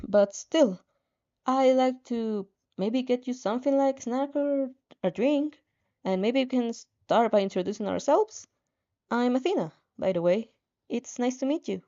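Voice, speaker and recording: A woman's voice: on the high side, animated, a little slow, warm tone, dull audio. Woman acting a gushing, awkward young woman. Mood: awkward, hopeful. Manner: gushing, hesitant. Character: cheerful, awkward, eager-to-please young woman.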